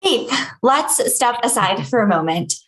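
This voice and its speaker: A woman's voice: fairly high pitch, very animated, unhurried, a bit bright. A woman playing an exasperated but caring coworker in a comedy table read. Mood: concerned.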